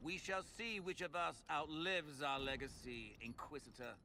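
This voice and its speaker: An actor playing a defiant, haughty villain lord in a fantasy game, with a sneering, theatrical manner. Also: male voice, on the high side, moderate pace, pushed and bright, slight background noise. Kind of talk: dramatic video game dialogue.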